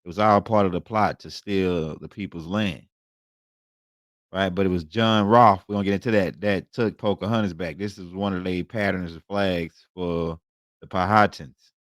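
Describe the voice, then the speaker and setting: An American man giving an informal, colloquial lecture, repeating phrases and often checking in with a quick tag question. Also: low voice, fairly even intonation, no room sound, clean studio sound. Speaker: online lecturer, host. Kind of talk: informal lecture talking through slides.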